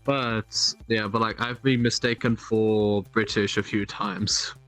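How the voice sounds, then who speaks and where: A man from South Africa chatting casually as co-streamer, talking in halting bursts with many restarts. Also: lowish voice, steady tone, fairly bright tone, faint music behind. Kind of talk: casual chat.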